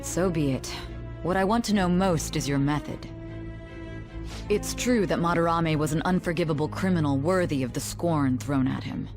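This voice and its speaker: A woman's voice: low voice, moderate pace, lightly acted. A stern female interrogator , played by a woman, formal, probing and controlled, doubting what she hears. Game dialogue with music behind. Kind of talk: anime game voice acting.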